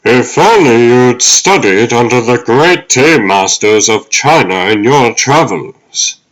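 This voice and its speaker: A man voicing the wise, gentle ghost of an elderly butler in a comic dub, speaking in measured, solemn counsel. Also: mid-range voice, animated, rough recording. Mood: gentle concern. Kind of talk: fan comic dub acting.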